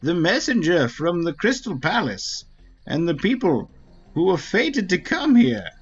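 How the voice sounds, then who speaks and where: A man's voice: high voice, big pitch swings, husky, dull audio, full character voice. A man voicing an ancient, solemn Wingly elder, wise and formal, in a dramatic read-aloud of a fantasy video game. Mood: grave. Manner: slow, grave, formal delivery. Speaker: game streamer. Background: faint game music.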